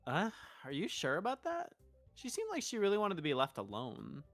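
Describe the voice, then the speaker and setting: Sweary streamer lady reading game stuff out loud, super animated, bit of music under it. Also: on the low side, moderate pace. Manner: sweary, expressive. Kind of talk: casual gameplay stream with read-aloud dialogue.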